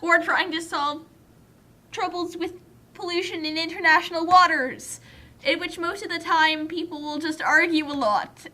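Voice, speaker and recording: A woman's voice: very high voice, fairly even intonation, normal speed, sharp tone. A young woman chatting casually as a co-commentator, telling rambling anecdotes in a wry, exaggerating way. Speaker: guest co-commentator.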